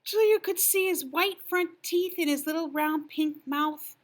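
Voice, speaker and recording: An older woman reading a children's picture book expressively, gentle and drawn-out, hushed in places. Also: sits high. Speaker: storyteller.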